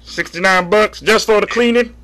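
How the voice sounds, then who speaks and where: A man's voice: high-pitched, normal speed, a crowd in the background, close to an everyday voice. A man voicing a folksy, sheepish husband in a prank call, rambling earnestly over the phone. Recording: phone line audio.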